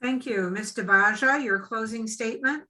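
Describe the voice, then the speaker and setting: A woman moderator with a bright, fairly high voice runs a formal forum. She gives brief thanks and hands the floor over in short, orderly cues. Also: normal speed.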